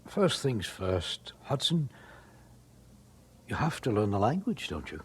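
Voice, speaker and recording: Very raspy, measured male missionary. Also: medium pitch, sing-song, heavily acted. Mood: measured, admonishing. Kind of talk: audio drama acting.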